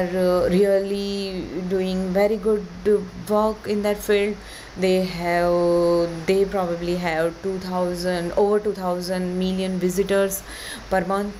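A woman with an Indian accent delivers a prepared presentation. She speaks slowly at a medium pitch, often stopping to hesitate. A light layer of noise sits behind her voice. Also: a little mellow, low-quality recording. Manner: halting delivery with frequent hesitations. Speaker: conference presenter, digital agency owner.

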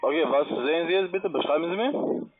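A non-native man with a foreign accent, working as a call centre agent, giving halting instructions. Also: a little high. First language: not German. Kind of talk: phone support talk. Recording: tinny phone line.

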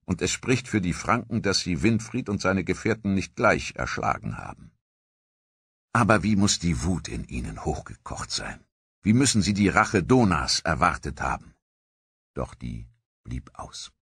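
A man narrating a history documentary in a measured, scripted storytelling manner. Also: low voice, lively intonation, a bit bright, breathy edge, no room sound, studio quality.

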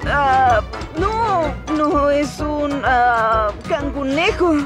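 A woman's voice: high voice, animated, full character voice. A woman voicing a cheeky, boastful young male steam engine in a Latin American Spanish cartoon dub, with hesitant phrasing. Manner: hesitant, improvising, drawn-out fillers. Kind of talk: animated children's series dubbing. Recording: music behind the voice.

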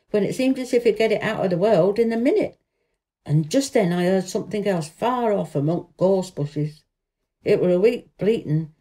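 A friendly country lad with a Yorkshire accent, played by a woman reading a storybook. He is gentle and homely, talking in broad dialect with a mellow tone. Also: medium pitch, lightly acted.

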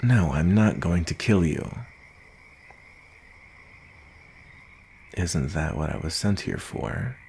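A man voicing a gruff werewolf bounty hunter with a soft side, in an intimate ASMR roleplay, with a deep gravelly voice and a murmuring, deliberate delivery. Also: expressive, slight background noise, exaggerated voice. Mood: gentle, protective. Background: nature ambience.

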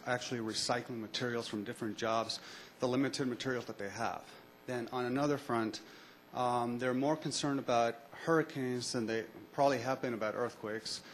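A man in a lecture audience asking a long, earnest question, pausing on fillers as he goes. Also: mid-range voice, slight background noise.

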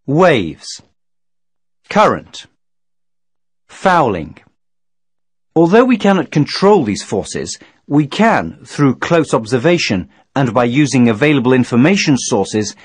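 A man reading scripted instructional narration in a measured, formal, didactic manner. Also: mid-range voice, husky, no echo. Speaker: training video narrator.

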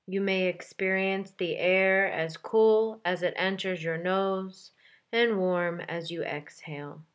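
A woman leading a guided meditation in a calm, gentle, measured way with deliberate pauses between phrases. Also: fairly low pitch. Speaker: meditation guide, yoga teacher. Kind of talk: guided meditation, soft instructional delivery.

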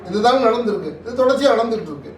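A man with a Tamil Nadu accent giving impassioned political commentary in an interview, forceful and oratorical, full of rhetorical questions. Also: sits high, animated, racing, slight background noise. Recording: echoey room, distant microphone.

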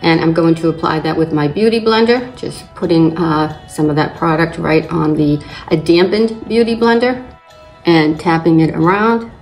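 An older woman hosting a beauty channel, slightly raspy from allergies, calmly explaining each makeup step in front of a fixed camera. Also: sits low, moderate pace, soft background music, rough recording. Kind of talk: makeup tutorial narration. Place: indoors in front of a plain backdrop.

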